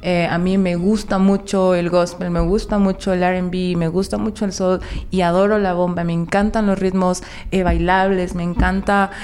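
A woman's voice: on the low side, flat delivery, moderate pace, few pauses, darkish tone. A woman from Ecuador's Valle del Chota with an Ecuadorian Spanish accent, talking casually and animatedly as an interview guest. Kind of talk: casual conversational storytelling.

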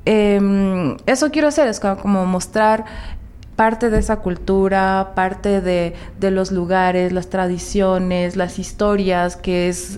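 Female interview guest, Ecuadorian accent, medium-pitched, animated conversational storyteller, slight background noise. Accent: Ecuadorian Spanish. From Valle del Chota, Ecuador.

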